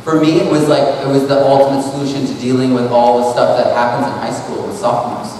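A man's voice: mid-range voice, fairly even intonation, quick pace, mellow, slight background noise. A man in his thirties from New England, giving a casual, rambling talk with a handheld mic to an audience in a large hall. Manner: rambling, restarts often, conversational and earnest. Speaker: speaker at an expo. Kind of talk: casual presentation. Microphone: handheld mic, far camera. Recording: echoey hall, voice from far off.

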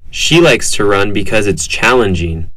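A man reading scripted lines for a language lesson in a plain, measured way. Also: lowish voice, expressive, fairly bright tone, no echo. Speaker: dialogue reader.

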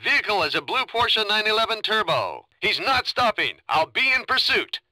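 A man's voice: sits high, sing-song, moderate pace, sharp tone, no room sound, full character voice, poor audio. A man playing a keyed-up pursuit officer in a video game, making urgent, clipped calls over police radio.

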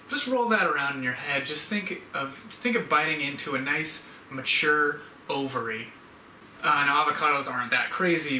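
Young guy doing a casual channel update, really bright voice, kinda rambly, mic far away. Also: a little high. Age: young adult. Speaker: YouTube channel host. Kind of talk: casual announcement vlog to camera. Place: indoor room at home, standing. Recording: echoey room, distant mic, background hiss.

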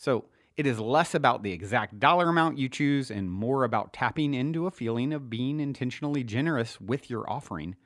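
A man reading his own self-help book as scripted narration, earnest and motivational with deliberate emphasis. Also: mid-range voice, no echo, studio quality.

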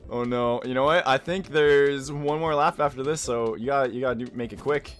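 A young man chatting casually through a racing game, halting and often repeating his phrases. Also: medium pitch, faint music behind. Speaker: gaming video host. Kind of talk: casual banter while playing a kart racing game.

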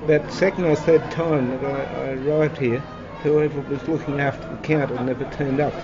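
A man's voice: medium pitch, steady tone, normal speed. A man who volunteers at a club, speaking in a plain, earnest way. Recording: lo-fi with music behind.

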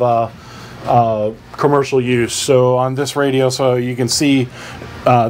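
A man presents an informal how-to guide in a mid-range voice. He is plain-spoken and instructive, taking things one step at a time. Clear ambient noise runs behind his speech. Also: mid-range voice. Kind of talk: informal tutorial explanation.

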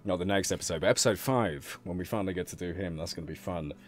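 A man with a fairly low voice chats informally as an interview guest. He works through his answers out loud, with music playing faintly behind him. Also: very fast. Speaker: animation director being interviewed. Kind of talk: casual interview chat.

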